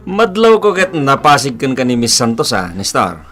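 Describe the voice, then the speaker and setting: A man's voice: mid-range voice, very animated, moderate pace, sharp tone, soft background music. A man with a Filipino accent playing a teasing male friend in a radio drama, drawling his words. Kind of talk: radio drama acting in Ilocano.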